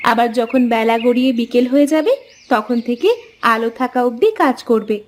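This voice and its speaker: Woman playing a high-voiced, caring village wife. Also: fairly quick, slight background noise, natural voice. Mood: coaxing, practical. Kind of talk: cartoon folk tale dialogue.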